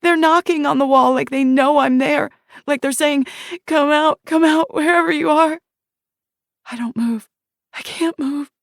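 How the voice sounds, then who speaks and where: A woman's voice: high voice, steady tone, measured pace, no room sound, studio quality, voice pushed up. A woman voicing a terrified young woman who relives a childhood night as a small girl, speaking in a trembling present-tense recall.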